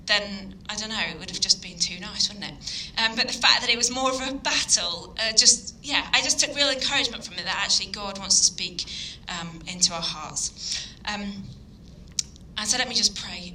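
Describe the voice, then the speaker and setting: A woman with a Yorkshire accent preaches an evening sermon in an earnest, halting way, with plenty of ums. Her voice is very bright and sharp. A faint hiss of noise sits behind her. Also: mid-range voice, poor audio. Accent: Yorkshire English. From Yorkshire, England. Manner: earnest, hesitant with frequent ums. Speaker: guest speaker.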